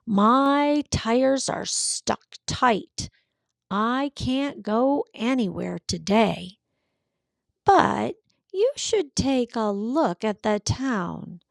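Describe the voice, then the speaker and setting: A woman voicing a kindly, steady storybook truck with a gentle, matter-of-fact manner. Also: on the high side, very slow, creaky, no room sound, clean studio sound, exaggerated voice. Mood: reassuring. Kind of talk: storybook character voice for children.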